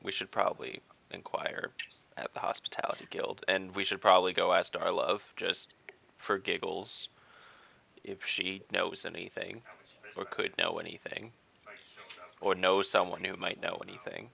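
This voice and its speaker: A man's voice: deep voice, level tone, moderate pace, creaky, sharp tone, breathy edge. A man playing in an online tabletop game, thinking aloud hesitantly with ums and trailing into a mutter. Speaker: player. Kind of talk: casual tabletop game chat. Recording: dull online call audio.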